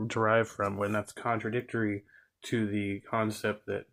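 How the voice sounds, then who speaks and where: A man with a scratchy throat hosting a livestream, commenting casually and thinking aloud as he reviews a video. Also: lowish voice. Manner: relaxed, thinks aloud with fillers and throat clearing.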